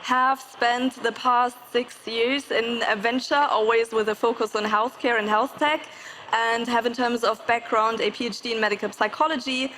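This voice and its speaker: Woman, German accent, high voice, panelist explaining, faint live-event noise. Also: steady tone, normal speed, fairly bright tone. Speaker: investor, panelist. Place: conference main stage.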